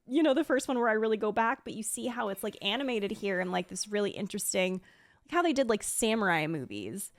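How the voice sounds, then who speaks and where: A woman's voice: medium pitch, no echo, clean studio sound. A woman streamer giving casual, gushing commentary as she reacts to something she is a fan of. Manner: gushing, enthusiastic. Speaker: streamer and video creator.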